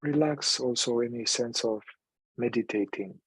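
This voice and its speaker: A man leading a guided meditation in a calm, unhurried manner, leaving long pauses between phrases. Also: medium pitch, husky, no echo, low-quality recording.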